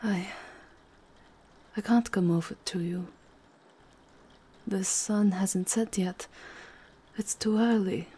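A woman playing a seductive, aristocratic vampire countess in an intimate ASMR roleplay, with a breathy, husky voice that often drops to a whisper. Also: mid-range voice, fairly even intonation, dark tone. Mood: tender, languid. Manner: slow, sensual, unhurried murmuring.

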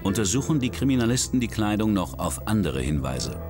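Deep-voiced man narrating over music. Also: very animated, a little fast. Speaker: documentary narrator. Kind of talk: scripted narration.

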